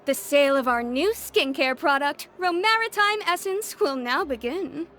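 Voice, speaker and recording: An actress playing a female shop announcer in a game, opening a product sale with a promotional pitch. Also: lively intonation, moderate pace. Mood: announcing. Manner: loud and promotional, like a sales pitch. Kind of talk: game cutscene voice acting.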